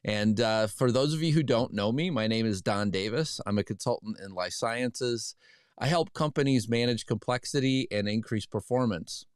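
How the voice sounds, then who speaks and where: A man hosting a podcast interview, friendly and relaxed with some hesitant restarts. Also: mid-range voice, normal speed, clean tone, no room sound, studio quality. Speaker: podcast host, consultant.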